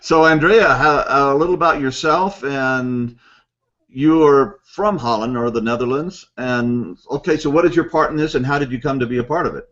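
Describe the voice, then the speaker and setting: A man's voice: mid-range voice, moderate pace, fairly bright tone. A man hosting a casual interview, loose and jokey, laughing along with his guests. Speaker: host. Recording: slightly muffled live stream audio.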